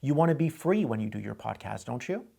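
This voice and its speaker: A man's voice: medium pitch, animated, quick pace, gravelly, mellow, a little hiss or hum. A man hosting a call-in advice show, coaching a caller in a direct way and often repeating himself. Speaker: host giving advice.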